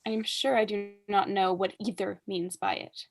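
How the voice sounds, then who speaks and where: A woman's voice: mid-range voice, fairly even intonation, moderate pace, vocal fry. A young woman acting a haughty, witty aristocratic mother in a staged reading, pompous, arch and declamatory. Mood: arch, imperious. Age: young adult. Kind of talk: staged reading of a period comedy.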